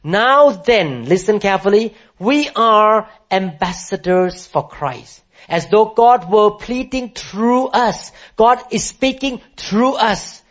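High-voiced man preaching emphatically. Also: takes it slowly, few pauses, a bit bright. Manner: emphatic preaching, rising to high impassioned declarations; calls for congregation responses. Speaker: pastor. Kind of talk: sermon. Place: room with a congregation. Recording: muffled lo-fi sermon recording.

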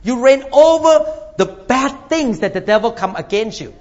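A man's voice: very high-pitched, lively intonation, unhurried. A man, from Thailand, preaching a sermon as a pastor, emphatic and repeating his points while calling for amens from the congregation. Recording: dull lo-fi recording.

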